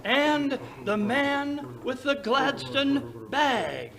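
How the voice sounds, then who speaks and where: An actor playing a brisk, imperious detective in an old-time radio drama, commanding and self-assured. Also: male voice, very high voice, expressive, gravelly, crowd noise, exaggerated voice.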